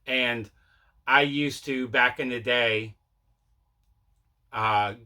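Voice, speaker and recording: Man, bright sharp voice, mid pitch, casual rambling host, repeats himself. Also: fairly even intonation, very slow. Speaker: host of a mail day collecting vlog. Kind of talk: casual chat showing movie pickups.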